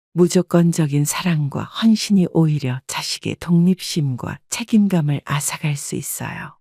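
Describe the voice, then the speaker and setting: A woman in her seventies calmly narrating a reflective first-person life story. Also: deep voice, no echo, studio quality. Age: 70s. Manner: calm, reflective.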